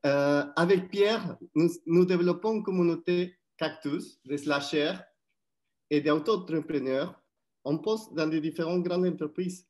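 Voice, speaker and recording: A man from Mexico with a Mexican accent, co-hosting a webinar and presenting in a friendly, slightly halting way over a video call. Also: fairly high pitch, a little mellow. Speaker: webinar co-host.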